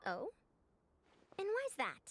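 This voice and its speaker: A woman's voice: extremely high pitch, wildly swooping pitch, takes it slowly, a bit bright, a little hiss or hum, exaggerated voice. A woman voicing a proud, self-assured female scholar in an anime video game, with a pompous, lecturing manner. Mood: boastful, inquisitive. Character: proud, scholarly senior expert lady, youthful-sounding, haughty.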